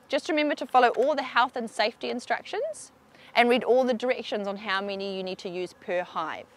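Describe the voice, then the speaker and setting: A young woman giving a clear, explanatory beekeeping demonstration outdoors beside hives on a lapel mic. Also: a little high, a bit bright. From New Zealand. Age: young adult. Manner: clear explanatory, pointing things out. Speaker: beekeeping researcher. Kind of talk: instructional demonstration.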